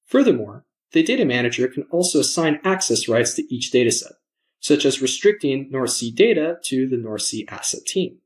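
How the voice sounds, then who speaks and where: A man presenting a software demo in scripted narration, measured and explanatory, enunciating his words. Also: medium pitch, big pitch swings.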